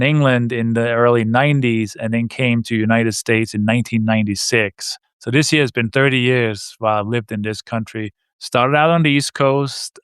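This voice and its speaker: A man's voice: mid-range voice, clean tone, no echo, clean studio sound. Man in his fifties with a Danish accent. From Denmark. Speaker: guest, real estate investor. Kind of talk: casual interview answers.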